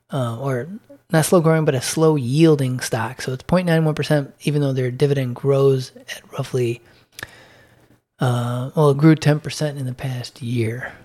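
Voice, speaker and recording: A slightly hoarse man giving a casual recap of his dividend portfolio, halting with many ums and restarts as he reads out figures. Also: medium pitch, a little mellow. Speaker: dividend investing vlogger.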